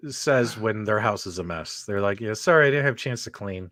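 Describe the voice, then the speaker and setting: A man's voice: fairly low pitch, animated, fairly quick, a bit bright, no echo, studio quality. A man hosting a live online show, upbeat and teasing as he brings in callers. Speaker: host.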